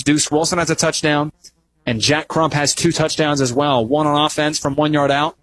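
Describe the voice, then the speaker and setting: A man's voice: a little high, fairly bright tone, a little hiss or hum, low-quality recording. A young man calling live football play-by-play for radio as the announcer.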